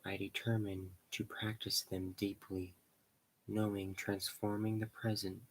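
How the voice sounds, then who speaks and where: A man leading a guided meditation in slow, measured short phrases with pauses between them. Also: deep voice, a bit bright, a little hiss or hum, low-quality recording.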